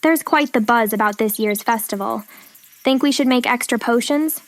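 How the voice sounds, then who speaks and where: A woman's voice: a little high, normal speed, close to an everyday voice. A woman voicing a kind young potion-making witch in a storybook reading, friendly and lightly teasing.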